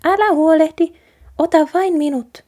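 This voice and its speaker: A woman voicing a small, cheerful female mouse in a storybook, brisk, breezy and reassuring. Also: very high-pitched, mellow, pitched above the performer's own voice. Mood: brisk, confident.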